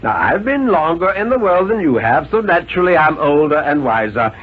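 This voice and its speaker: A man's voice: a little high, sing-song, brisk, slightly rough. Man acting a pompous father, swooping and insistent. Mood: insistent, lecturing. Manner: pompous, insistent, jolly-patronizing, emphatic. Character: overbearing, condescending father lecturing his small son. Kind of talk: 1950s radio dramatic reading. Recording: tinny, noisy 1950s radio recording.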